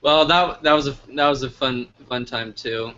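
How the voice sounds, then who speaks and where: A man's voice: medium pitch, normal speed, sharp tone. An American man interviewing a guest in a casual, chatty style. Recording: lo-fi audio with clear background noise.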